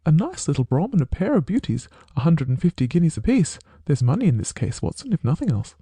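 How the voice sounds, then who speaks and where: A man voicing a brisk, dryly confident detective. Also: fairly high pitch, very animated, dark tone, breathy edge, no room sound, voice pushed up, exaggerated voice. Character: keen, brisk male detective, dry wit. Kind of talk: audiobook character voice.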